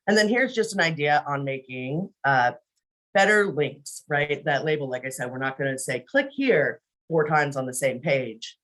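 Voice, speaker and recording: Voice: medium pitch, lively intonation, moderate pace, a bit bright. A presenter giving an informal screen-share training on a video call, talking conversationally and often checking in with the listeners by saying "right?".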